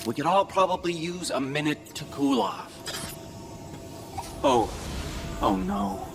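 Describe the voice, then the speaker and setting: A woman playing an exasperated peacemaker in a video game drama, speaking in a conciliatory, animated way. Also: lowish voice, slow pace, gravelly, over music, full character voice. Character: exasperated peacemaker stepping into a quarrel.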